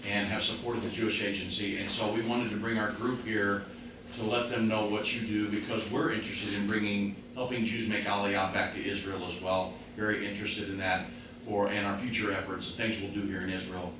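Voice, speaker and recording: An American man speaks informally on behalf of a tour group. His voice is fairly low and comes from far off in a very reverberant room with noticeable noise. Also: little pitch movement, a little fast. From United States. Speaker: tour group leader and radio program host. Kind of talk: informal spoken remarks. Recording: very echoey, distant, noisy room.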